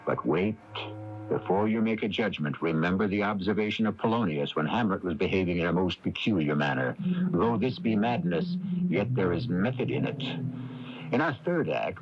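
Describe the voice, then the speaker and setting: A man hosting a radio mystery series, narrating in a dramatic, portentous style and addressing the listener directly. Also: deep voice. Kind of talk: theatrical scripted radio narration. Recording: noisy, dull AM radio recording.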